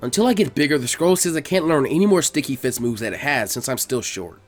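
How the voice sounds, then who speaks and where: A man's voice: medium pitch, lively intonation, quick pace, soft background music, voice pushed down, lightly acted. A man voicing a brash, restless young boy ninja who grumbles informally in an audiobook-style story reading. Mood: frustrated, earnest.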